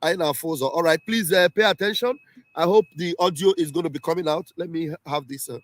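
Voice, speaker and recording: A man's voice: a little high, quick pace, dry recording. A man hosting a casual livestream, emphatic and repeating himself often. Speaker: livestream host. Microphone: home livestream setup.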